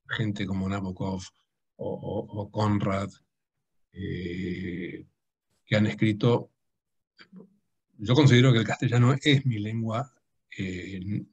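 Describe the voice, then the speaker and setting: A man's voice: lowish voice, steady tone, slow, long pauses, a little mellow, breathy edge, dull audio. Hesitant man, native Dutch speaker. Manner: hesitant, many restarts and fillers. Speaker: guest translator. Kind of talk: conversational discussion.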